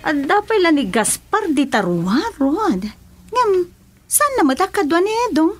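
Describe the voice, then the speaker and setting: A woman's voice: high-pitched, sing-song, a little slow, soft background music. A woman playing a chatty wife. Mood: affectionate.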